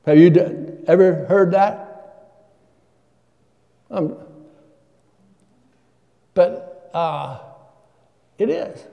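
A man's voice: high-pitched, extremely slow, creaky, long pauses, highs cut off. An older man preaching a sermon from a church pulpit, asking his congregation questions in a conversational way. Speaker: guest preacher. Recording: long church reverb.

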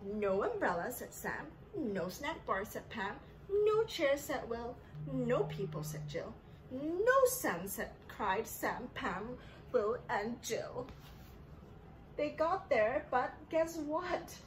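A woman's voice: on the high side, big pitch swings, slow pace, a little hiss or hum, poor audio. A woman in her thirties in a sing-song children's story-time style, asking simple questions as she teaches. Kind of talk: children's read-aloud and teaching. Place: living room indoors.

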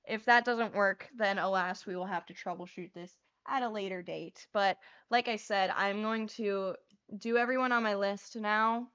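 Young woman streamer, a bit hoarse. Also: medium pitch, fairly even intonation, moderate pace, fairly bright tone, highs cut off. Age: young adult. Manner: casual, swears freely, chatty and rambling. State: getting hoarse. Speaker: livestream host. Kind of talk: casual chat while painting cards. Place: small bedroom. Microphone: lapel mic.

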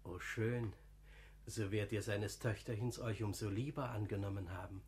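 An actor playing a humble, good-natured older friar in a radio drama, plain-spoken and confiding. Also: male voice, lowish voice, steady tone, moderate pace, a little mellow, a little hiss or hum, close to an everyday voice. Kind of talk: classical German radio drama acting.

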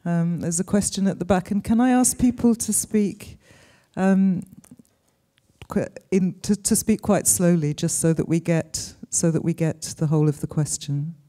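Articulate older woman moderator, dark voice. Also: lowish voice. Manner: articulate, measured, thoughtful. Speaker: interviewer, moderator, professor. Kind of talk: moderating a post-screening Q&A. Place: cinema stage. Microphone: handheld mic.

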